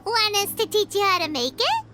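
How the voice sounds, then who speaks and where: Squeaky, very high, bouncy tiny fairy sidekick, chatty, over loud game music. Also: female voice, huge pitch swings, normal speed, full character voice. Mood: cheerful. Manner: talks about herself in third person. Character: tiny floating fairy companion, bubbly and chatty.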